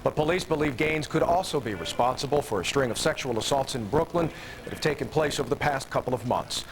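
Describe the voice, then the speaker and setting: A man's voice: medium pitch, expressive, quick pace, a little hiss or hum, rough recording. An American man reading scripted television news. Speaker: news anchor.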